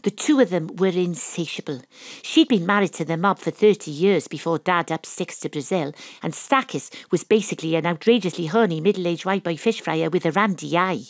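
Wry Welsh private detective, close dry sound. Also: female voice, on the low side, a little fast, dry recording. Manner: wry, theatrical, literary. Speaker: narrator and lead character. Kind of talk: first-person audio drama narration and acting.

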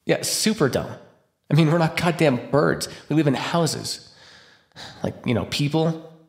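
A man's voice: on the high side, measured pace, creaky. A man playing a defiant captive vampire in an ASMR roleplay, talking with sarcastic, taunting flippancy. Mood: mocking, bored. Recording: roomy echo.

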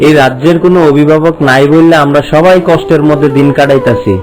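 Guy playing plaintive cartoon peasant, bangladeshi accent, slightly high voice, music behind. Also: fast talker, close to an everyday voice, poor audio. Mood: plaintive, respectful. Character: troubled, complaining commoner of a fairy-tale kingdom. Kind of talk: cartoon voice acting.

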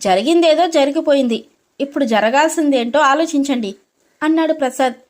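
A woman's voice: high-pitched, fairly quick, darkish tone. A woman storyteller reading a scripted story narration.

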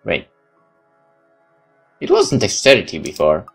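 A man's voice: low-pitched, huge pitch swings, a little slow, creaky, breathy edge. A man playing a game on camera-free commentary, reading its narration aloud and adding remarks of his own. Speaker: let's player. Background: game music.

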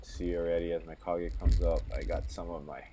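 A man narrating his own kayak fishing footage, calm and matter-of-fact, explaining each step as he goes. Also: low voice, brisk, background noise. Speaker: kayak fisherman.

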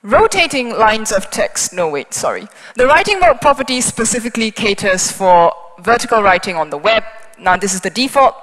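A woman's voice: fairly high pitch, very animated, moderate pace, a bit bright. A woman whose first language is Chinese, giving a technical talk on stage at a conference with a headset mic, explaining things step by step with small asides. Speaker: conference speaker. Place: large conference hall on stage. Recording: hall reverb.

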